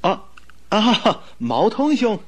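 An actor playing an earnest, courteous doctor in a radio drama, speaking politely and with concern. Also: male voice, high-pitched, very animated, slow, heavily acted. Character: earnest, courteous male doctor, detective's worried friend. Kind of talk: Mandarin radio drama acting.

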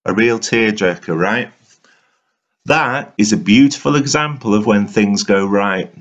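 A man reads a motivational monologue in a fairly low voice. His delivery is very slow and deliberate, with earnest, emphatic phrasing. The recording is slightly dull and lo-fi. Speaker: reader of a written monologue. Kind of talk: dramatic motivational spoken-word reading.

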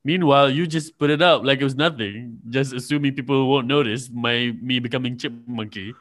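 A man's voice: mid-range voice, brisk, a bit bright. A man co-hosting a podcast over a call, teasing his partner with mock exasperation in casual chat. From Malaysia. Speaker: podcast co-host.

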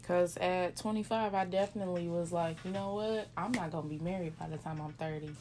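A woman of thirty co-hosting a casual chat, relaxed and talkative, also reading a business shout-out. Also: fairly low pitch, a little fast, a little hiss or hum. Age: 30s. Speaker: podcast co-host.

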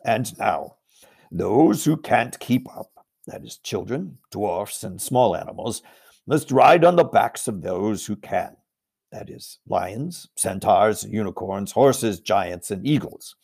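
A man voicing a noble, commanding male lion who speaks slowly and with authority. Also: on the low side, lively intonation, vocal fry, a little mellow, husky, full character voice.

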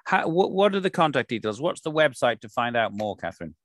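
A man's voice: medium pitch, very animated, brisk, no echo, clean studio sound. A man hosting an interview, genial and with frequent fillers. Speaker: podcast host. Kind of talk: conversational interview.

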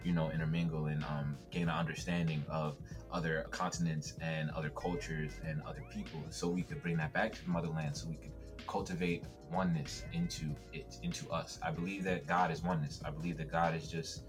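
A young man from the US East Coast, a guest on a podcast, talking in a relaxed, reflective way and laughing often. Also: extremely low pitch, a little fast, over music. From United States, East Coast. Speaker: podcast guest, TikTok creator. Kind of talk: casual podcast chat.

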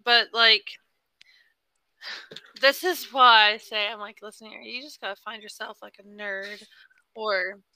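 A woman co-hosting a podcast, chatting casually with slow, careful, hedging phrasing. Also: a little high, steady tone, pushed and bright, poor audio. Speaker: podcast co-host. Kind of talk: casual chat.

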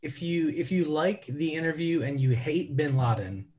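A man's voice: a little high, normal speed, a little mellow, slight background noise. A man guesting on a podcast, trading casual banter.

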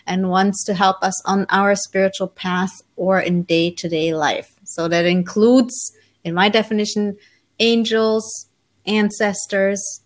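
Woman in her forties, German-raised guest author, calm mid-pitched storyteller pausing often. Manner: relaxed, thoughtful, many ums, light laughs. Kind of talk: conversational interview storytelling.

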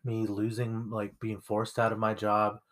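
A man's voice: on the low side, completely flat delivery, measured pace, a bit bright. A young man co-hosting a casual conversation, speaking reflectively with frequent fillers. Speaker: podcast co-host.

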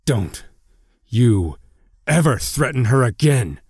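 A man reads a stern young hero in an audio storybook, protective and controlled. The voice sits fairly low and moves slowly, recorded dry. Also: a little mellow, no room sound. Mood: menacing. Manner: slow, menacing, controlled. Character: stern, protective young male hero, dangerous when angered.

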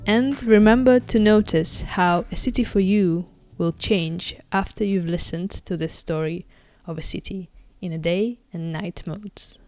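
A woman's voice: fairly low pitch, a little slow, few pauses, darkish tone, faint music behind. A woman hosting a podcast, reading a welcome intro and then chatting with her guest in a friendly, easy way. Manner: friendly, laughs easily, short backchannels. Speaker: podcast host. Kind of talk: podcast intro and casual interview.

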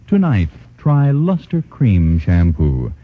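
A man announcing commercials in a 1940s radio pitch, his husky voice rising and falling eagerly. His delivery is smooth and persuasive. The recording sounds dull and muffled. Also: wildly swooping pitch, slow. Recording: muffled old radio broadcast.

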